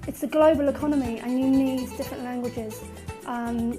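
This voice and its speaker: A woman's voice: high voice, steady tone, normal speed, over music. A woman teacher speaking passionately and earnestly.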